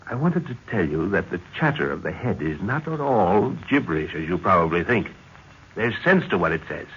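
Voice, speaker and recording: Fervent older british explorer in an old radio serial, voice swings all over, muffled hissy recording. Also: male voice, sits low, sing-song, moderate pace, a bit bright. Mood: earnest, intent. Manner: emphatic, theatrical. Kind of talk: old-time radio acting.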